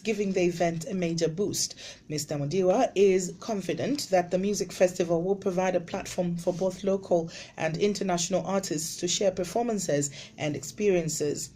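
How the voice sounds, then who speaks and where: A woman from Zambia reading a radio news bulletin in a measured, formal way. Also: on the low side, dark tone, a little hiss or hum. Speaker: radio newsreader.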